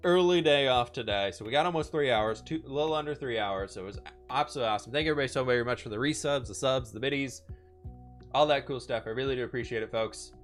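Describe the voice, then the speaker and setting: Chatty streamer, a bit hoarse. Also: male voice, mid-range voice, fast, soft background music. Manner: upbeat, chatty, reads and answers chat. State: a little hoarse. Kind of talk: casual live-stream chat while building.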